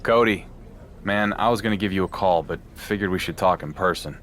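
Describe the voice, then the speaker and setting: A man's voice: sits low, a little fast, fairly bright tone. A man playing a cocky, defiant champion wrestler in a video game, confident and pointed in his talk. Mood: guarded. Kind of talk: dramatic video game dialogue. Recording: faint background noise.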